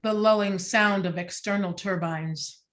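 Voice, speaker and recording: A woman reading stage directions as scripted narration in a measured, descriptive way. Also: mid-range voice, fairly even intonation, fairly bright tone.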